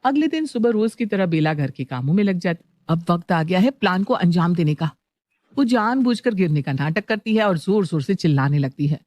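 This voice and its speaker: A woman's voice: on the low side, lively intonation, fairly quick, a little mellow, dry recording. A woman working as narrator, reading a scripted story.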